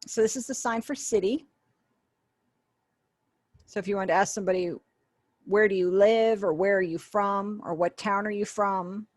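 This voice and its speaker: A woman's voice: mid-range voice, steady tone, moderate pace, pauses often, dry recording, clean studio sound. A woman giving an online sign language lesson over a webinar call, patiently explaining and acting out example phrases. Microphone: webinar video call.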